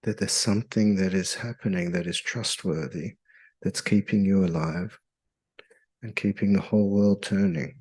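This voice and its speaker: A man leading a guided meditation in a soothing, hypnotic, measured way with long pauses. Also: low-pitched, darkish tone.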